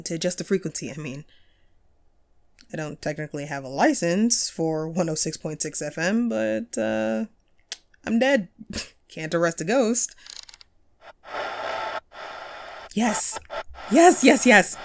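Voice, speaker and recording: A woman's voice: lowish voice, a little mellow. A woman playing a friendly, wistful ghost who hosted a paranormal radio show, chatty and playful in an intimate audio roleplay. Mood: wistful, gentle, then excited, playful. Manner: playful, conversational, self-deprecating, breaks into chuckles. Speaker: radio host.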